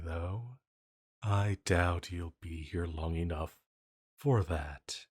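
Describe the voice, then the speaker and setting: A man playing an ancient banished villain entity in an intimate roleplay audio, flirty yet lonely, musing hesitantly. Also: sits low. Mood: wistful, flirty.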